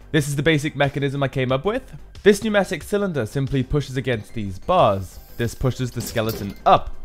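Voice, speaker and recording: A man giving a casual, explanatory how-to narration as a maker presenting his build. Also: medium pitch. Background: background music.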